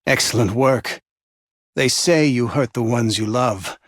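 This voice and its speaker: An actor playing a menacing, sadistic game villain who speaks in a deliberate, seductive way. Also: male voice, mid-range voice, no room sound, clean studio sound, lightly acted. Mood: intimate, menacing. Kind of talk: video game voice lines.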